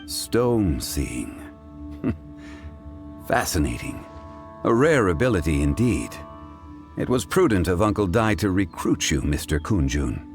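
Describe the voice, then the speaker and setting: A man playing a composed, thoughtful and formal scholarly gentleman in a video game. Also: sits low, big pitch swings, soft background music, exaggerated voice. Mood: intrigued. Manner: measured, formal, pensive.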